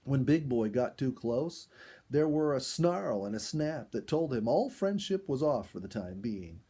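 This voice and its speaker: A man reading a children's story aloud as an expressive storyteller in scripted narration. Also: medium pitch, unhurried, slightly rough.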